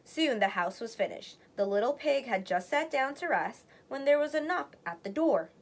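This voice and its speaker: A woman storyteller narrating a children's read-aloud in an expressive, deliberate way. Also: high-pitched, a little hiss or hum.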